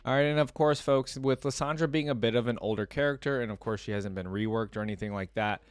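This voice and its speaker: A man hosting a reaction video, thinking out loud in casual, informal and enthusiastic commentary. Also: mid-range voice, quick pace, clear, resonant tone, no room sound. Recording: music playing behind the voice. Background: game login music.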